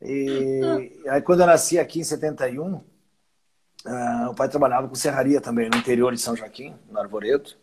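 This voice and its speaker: Man, 50s, husky, relaxed rambling interview guest. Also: mid-range voice, brisk. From southern Brazil. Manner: rambling, restarts phrases, chuckles often. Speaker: winery owner, guest. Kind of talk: casual conversational interview.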